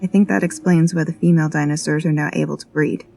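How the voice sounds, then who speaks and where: A woman playing a sharp, matter-of-fact scientist in an audio drama, explaining things in a measured way. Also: sits low, fairly even intonation, vocal fry, soft background music. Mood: probing, thoughtful.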